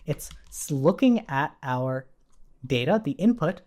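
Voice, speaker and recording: A man's voice: fairly high pitch, sing-song, measured pace, a little mellow. A man giving an informal live coding lesson, explaining step by step and often checking in with short tag questions. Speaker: programming instructor. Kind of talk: live coding tutorial, explaining as they type.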